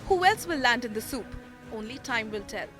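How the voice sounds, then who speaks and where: Woman, slightly high voice, scripted news voice-over, prominent music behind. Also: expressive, brisk. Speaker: news report voice-over reporter. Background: music bed under voice-over.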